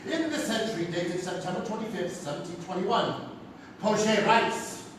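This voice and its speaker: A man playing a theatrical keeper of secret city records, ominous and deliberate, in a live radio drama. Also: high-pitched, expressive. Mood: ominous, welcoming. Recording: echoey theatre, far mic, noisy.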